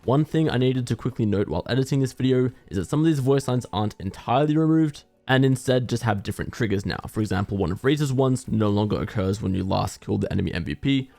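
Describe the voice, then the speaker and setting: A man giving casual, conversational commentary on a video game in a measured, opinionated way. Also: medium pitch, expressive, vocal fry, warm tone, dry recording.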